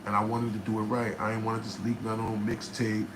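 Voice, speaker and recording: A man from New Jersey, a rapper, telling a studio story in casual, profane style with a raspy voice, often asking "right?". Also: fairly low pitch, quick pace. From New Jersey, USA. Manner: animated, profane, keeps checking in with "right?". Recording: noticeable background noise.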